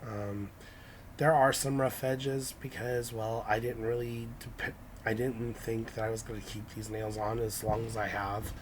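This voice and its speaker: A hoarse young man with a raspy, scratchy voice, talking through a casual nail-art how-to with lots of ums and restarts. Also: fairly low pitch, flat delivery, measured pace. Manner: hesitant, many ums and restarts. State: hoarse from illness.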